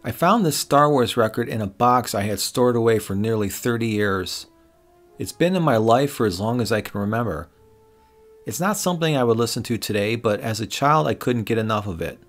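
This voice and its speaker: A man's voice: mid-range voice, expressive, brisk, soft background music. Middle-aged man narrating a product review. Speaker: reviewer and host. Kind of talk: scripted product review voice-over.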